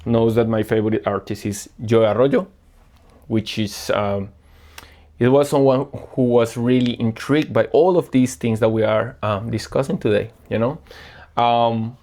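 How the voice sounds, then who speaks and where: A man from Colombia, a guest record selector chatting casually and earnestly about records, often pausing to search for words. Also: mid-range voice, fairly even intonation, a little mellow. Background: faint music playing.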